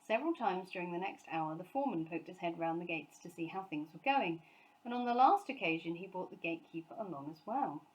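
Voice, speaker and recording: A woman's voice: on the low side, expressive, brisk, poor audio. A woman reading a children's storybook aloud as scripted narration. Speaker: storybook reader, teacher.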